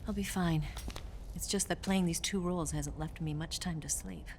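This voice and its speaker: A woman playing a sly, flirtatious double agent in a dramatic video game cutscene, teasing and breathy at times. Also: lowish voice, normal speed, darkish tone, slight background noise, close to an everyday voice. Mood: bitter, weary. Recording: music underneath. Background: cinematic game music.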